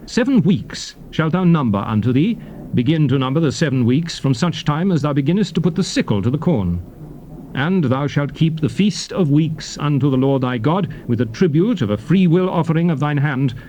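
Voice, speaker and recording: Solemn male lawgiver for dramatized scripture, played by an actor: mid-pitched, very varied intonation, declamatory, faint noise on tape. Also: warm tone, lightly acted. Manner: declamatory, solemn, measured emphatic phrasing. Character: solemn male biblical lawgiver addressing his people. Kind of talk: dramatized scripture reading, formal theatrical delivery. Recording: faint noisy ambience, cassette tape recording.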